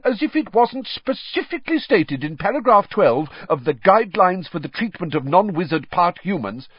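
A pompous young official, fussy and indignant, ranting at a raised pitch, as a male reader puts him on. The sound is dull and dry, with a slightly husky edge. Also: very animated, normal speed, no echo, exaggerated voice. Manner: furious, fussy, self-important. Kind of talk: audiobook character voice.

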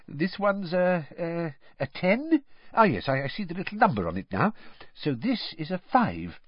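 A man's voice: on the high side, sing-song, moderate pace, breathy edge, no echo, pitched above the performer's own voice. A man voicing an eager, nervous middle-aged wizard father who stammers and fumbles. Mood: flustered. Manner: flustered, hesitant, stammering. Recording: slightly dull lo-fi audio.

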